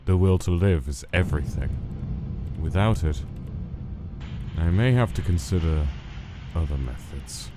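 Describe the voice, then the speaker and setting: A man's voice: sits low, lively intonation, a little slow, long pauses, noisy background. A man playing a cold, stern, guilt-weary skeleton scientist in a comic dub, speaking in a grave, clipped, formal and commanding way. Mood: brooding. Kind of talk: dramatic comic dub acting. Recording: music behind the voice.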